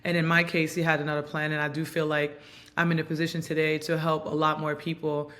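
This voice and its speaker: A woman in her thirties from Montreal, whose first language is Haitian Creole, giving reflective, earnest interview answers with frequent pauses and ums. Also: low-pitched, level tone, fairly bright tone. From Montreal, Canada. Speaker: guest, basketball player and coach.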